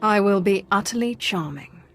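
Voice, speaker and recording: A woman's voice: medium pitch, animated, normal speed, a bit bright, lightly acted. A woman playing a self-assured Sith in a video game, composed and coolly persuasive. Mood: smoothly persuasive. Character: poised, self-assured female Sith, smoothly charming and persuasive. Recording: music behind the voice.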